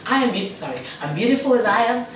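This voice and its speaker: A woman from South Africa, a teacher, chatting casually in an interview with a lively manner and frequent laughs. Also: on the high side, fast talker. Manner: lively, laughs often, animated when joking. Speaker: guest, teacher in China. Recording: echoey room, distant mic, faint noise.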